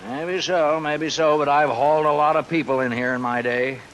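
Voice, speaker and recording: A man's voice: a little high, fairly even intonation, moderate pace, fairly bright tone, natural voice, poor audio. A man acting a condescending, folksy older constable in a 1950s radio drama. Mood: lecturing, wry. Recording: hissy old radio recording under rain sounds.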